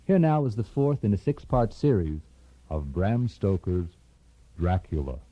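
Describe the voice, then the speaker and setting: A man's voice: fairly low pitch, big pitch swings, unhurried, husky, dry recording. A man announcing a scripted drama series introduction. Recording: dull, muffled recording.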